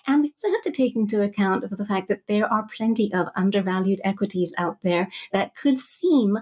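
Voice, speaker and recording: Middle-aged female podcast guest from Zambia, medium pitch, conversational analyst explaining her view. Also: fairly quick, darkish tone, slightly rough.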